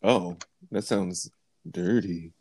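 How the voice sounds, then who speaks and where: A man's voice: deep voice, big pitch swings, takes it slowly, vocal fry, a little mellow, a little hiss or hum. A man co-hosting a casual podcast chat, joking and laughing often. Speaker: podcast co-host.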